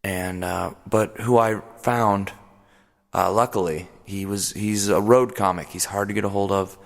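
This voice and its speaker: A man hosting a comedic one-man radio play, rambling and deadpan self-important, full of fillers. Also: lowish voice, measured pace, vocal fry. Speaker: podcast host. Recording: long hall reverb.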